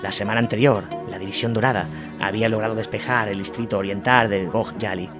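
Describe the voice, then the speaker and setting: Low-voiced Spanish male narrator. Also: steady tone, quick pace. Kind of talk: scripted documentary narration. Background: music under the voice.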